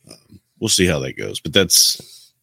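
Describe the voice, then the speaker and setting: Man, deep voice, podcast panelist, casual gaming chat, very little room sound. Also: sing-song, unhurried, creaky, pushed and bright, husky, no room sound. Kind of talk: casual chat about video games.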